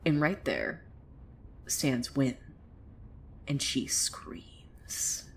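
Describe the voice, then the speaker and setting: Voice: mid-range voice, extremely slow, gravelly, whispered, exaggerated voice. A woman playing a wry, theatrical narrator of a surreal small town in a scripted audio drama, telling the tale dramatically. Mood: somber, hushed. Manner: theatrical, swinging from hushed to excited. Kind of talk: dramatic scripted storytelling monologue.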